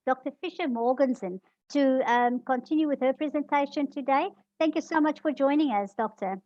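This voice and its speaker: A woman's voice: sits high, brisk, highs cut off. A woman hosting an online webinar, effusive and thanking speakers over and over. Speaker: webinar host. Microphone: video call.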